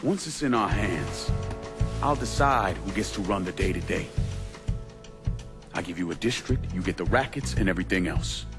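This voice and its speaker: A battle-hardened crime boss in a game cutscene. His voice is on the low side, authoritative and blunt, giving orders with rough language. Music runs behind him. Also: lively intonation, normal speed, pauses often. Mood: firm, strategic. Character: commanding, hardened male war veteran turned crime boss.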